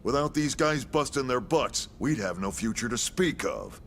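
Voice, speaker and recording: An actor playing a sardonic, folksy military commander in a video game cutscene. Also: male voice, mid-range voice, animated, moderate pace, a bit bright, a little hiss or hum. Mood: wry, expository. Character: gruff, wisecracking male military commander. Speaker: commanding officer briefing recruits.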